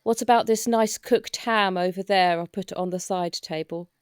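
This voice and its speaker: A woman's voice: mid-range voice, moderate pace, smooth, no echo, studio quality, close to an everyday voice. A woman playing a polite, officious, flustered hospital attendant in a comedic audio roleplay, formal and courteous with a slight stammer. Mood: polite, dutiful.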